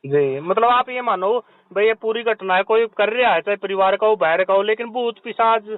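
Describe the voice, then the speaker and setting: A man's voice: high-pitched, normal speed, no room sound. A man, speaking conversationally as a reporter asking questions in a phone interview. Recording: tinny phone-call line.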